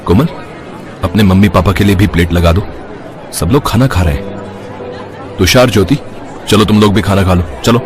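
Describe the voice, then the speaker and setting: Low-voiced, protective young husband played by a man. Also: normal speed, long pauses, a crowd in the background, noisy background, close to an everyday voice. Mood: concerned. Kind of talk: Hindi moral-story cartoon dialogue.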